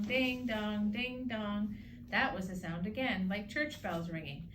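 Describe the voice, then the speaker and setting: A woman reading a children's story aloud as narrator. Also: medium pitch. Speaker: storybook reader. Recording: distant mic, clear background noise.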